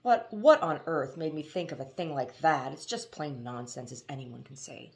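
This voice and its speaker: A woman's voice: low-pitched, moderate pace, creaky. A woman voicing an earnest, polite young boy in a storybook. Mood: muttering.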